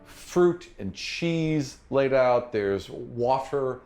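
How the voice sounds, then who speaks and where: A man's voice: mid-range voice, lively intonation, extremely slow, a little mellow, soft background music. A man narrating a tabletop game as game master, joking and bantering with the players.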